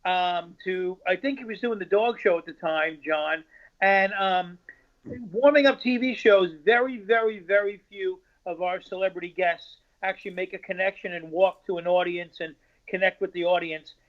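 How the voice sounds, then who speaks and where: A man's voice: high-pitched, moderate pace. A man, a stand-up comedian, chatting in a rambling way over a video call. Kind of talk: casual chat. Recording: dull lo-fi call audio.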